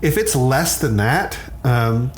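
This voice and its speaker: A man's voice: medium pitch, lively intonation, slow pace, a little hiss or hum. A man explaining science conversationally as an expert guest, candid, often repeating words as he starts a thought.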